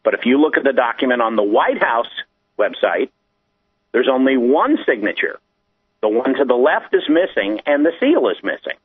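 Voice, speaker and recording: A man's voice: medium pitch, very animated, normal speed, breathy edge. Man explaining on a tinny phone line. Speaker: guest explaining digital documents. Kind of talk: conversational technical explanation.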